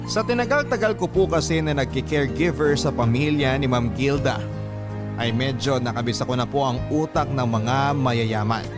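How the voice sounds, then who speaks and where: A man with a Filipino accent narrates a story in the scripted style of a radio letter reader. His voice sits at a middle pitch, and music plays clearly underneath. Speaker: radio host reading a listener's letter.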